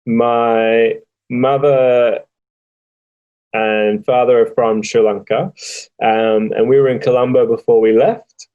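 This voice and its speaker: A young man with an Australian accent, speaking slowly and reflectively with many pauses, like an interviewee telling his family's story. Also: mid-range voice, steady tone, narrow, tinny audio. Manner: slow and thoughtful, with frequent pauses and fillers. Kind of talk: reflective personal testimony.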